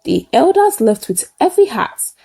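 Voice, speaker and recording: A woman's voice: sits high, big pitch swings, measured pace, creaky, warm tone, slightly rough. A woman narrates a folktale in short, simple sentences, solemn and emphatic. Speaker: storyteller. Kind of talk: dramatic folktale narration with a closing moral.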